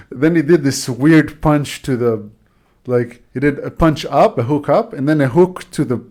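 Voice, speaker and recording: A man hosting a podcast in a loose, casual chat, reading jokes aloud and filling pauses with "uh" and "um". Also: a little high, a little mellow. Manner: chatty, loose, many fillers. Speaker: podcast host.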